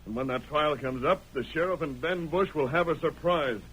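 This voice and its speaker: A man as a righteous masked cowboy hero in a 1940s radio western. He speaks crisply and with command, on a slightly noisy old recording. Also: a little high, moderate pace, natural voice. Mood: confident, calm.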